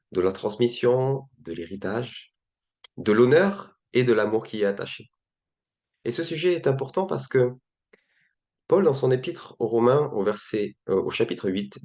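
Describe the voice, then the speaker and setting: A man hosting a faith-based interview, speaking hesitantly with frequent pauses and fillers. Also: mid-range voice, darkish tone.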